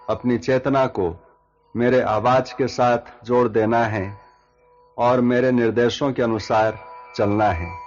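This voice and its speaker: A man leading a guided yoga nidra relaxation as instructor, giving measured instructions in short phrases with long pauses. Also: mid-range voice, highs cut off. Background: background music.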